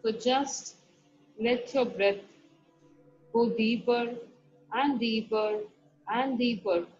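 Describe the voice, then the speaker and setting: Slow, soothing woman guiding a meditation. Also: on the high side. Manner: soft, slow instructions with long pauses. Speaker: meditation guide. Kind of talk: guided meditation. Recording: dull lo-fi recording with faint music. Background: soft background music.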